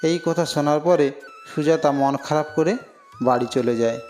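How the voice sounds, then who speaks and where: Male cartoon narrator, scripted storytelling, slightly high pitch, light music bed. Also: moderate pace, darkish tone.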